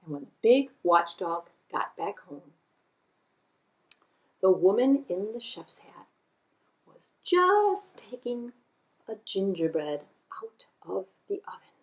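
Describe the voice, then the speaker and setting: Female children's puppet-show narrator: unhurried, lively intonation, deliberate repetition and friendly-listener asides. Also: medium pitch, poor audio. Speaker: children's library puppeteer and storyteller. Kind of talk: animated children's storytelling.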